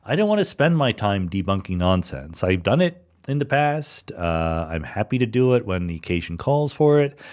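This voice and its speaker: A middle-aged man with a somewhat low voice hosts his own podcast, working through listener questions on his own. He talks in a relaxed, thoughtful way, often pausing on fillers and restarting his sentences as he thinks aloud. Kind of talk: casual solo monologue.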